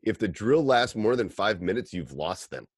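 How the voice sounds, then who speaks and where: A man with a very deep voice, a basketball coach, chatting casually and relaxed, with frequent "like". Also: moderate pace, no room sound, studio quality. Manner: relaxed, says "like" often.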